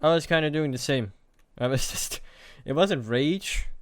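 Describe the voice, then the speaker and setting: A young man in his late teens talking alone to the camera in a hesitant, halting, self-deprecating way, as a confessional vlog. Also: on the high side, dry recording. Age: young adult. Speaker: vlogger.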